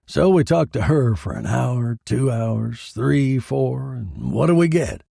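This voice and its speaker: A man's voice: medium pitch, sing-song, slow, gravelly, dark tone, dry recording, clean studio sound, full character voice. A man playing a cynical, exasperated police detective who talks tough and slangy, in the style of a 1940s crime drama. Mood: weary exasperation. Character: hard-boiled gruff police detective, big man, cynical.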